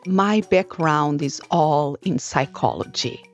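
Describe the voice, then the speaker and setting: A woman's voice: deep voice, slow, highs cut off, faint music behind. A woman with a Portuguese accent, a podcast host and life coach telling her own story in an earnest, reflective manner, as a native Portuguese speaker. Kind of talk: personal story told for a podcast.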